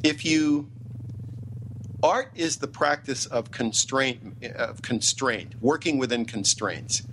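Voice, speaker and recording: A man's voice: medium pitch, slow pace, fairly bright tone, rough recording. Middle-aged male interview guest, mid-range voice, emphatic and opinionated delivery, faint background noise. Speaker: interview guest, TV writer and producer. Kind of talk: interview talk.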